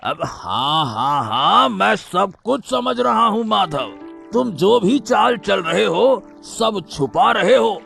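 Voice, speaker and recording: A man voicing a suspicious, threatening wrestler in a cartoon story, with a taunting, drawn-out, insinuating delivery. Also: sits high, gravelly, fairly bright tone, exaggerated voice. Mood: taunting suspicion. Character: suspicious, threatening male wrestler interrogating a boatman. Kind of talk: Hindi moral-story cartoon dubbing.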